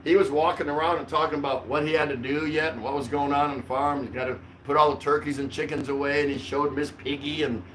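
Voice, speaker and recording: A man's voice: fairly high pitch, steady tone, quick pace, hardly any pauses, fairly bright tone, a little hiss or hum, low-quality recording. An older man hosting a casual livestream, rambling along in a jokey, mildly profane way. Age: older, around 60. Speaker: livestream host. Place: small curtained room indoors.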